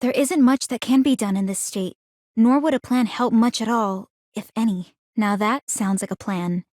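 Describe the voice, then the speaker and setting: An actress performs a young woman strategist in a video game with a somewhat high voice. She is composed and analytical, choosing formal words with measured, articulate delivery. The sound is very dry, with no room around it. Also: darkish tone, no room sound, close to an everyday voice. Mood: composed, confident. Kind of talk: scripted video game character dialogue.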